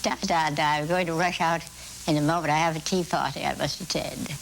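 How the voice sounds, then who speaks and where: An elderly American woman in her eighties speaks in a low register with a gravelly creak. She tells her stories deliberately, pausing and repeating for dramatic weight. A light hiss of background noise sits behind her. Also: fairly even intonation. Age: 80s. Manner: deliberate, dramatic phrasing with pauses and repetition. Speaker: amateur conductor being interviewed. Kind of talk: reflective interview storytelling.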